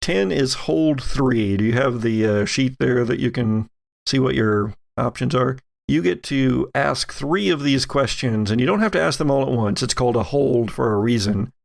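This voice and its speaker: A man narrating a tabletop role-playing game as game master, haltingly searching for words as he describes scenes. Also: medium pitch, vocal fry.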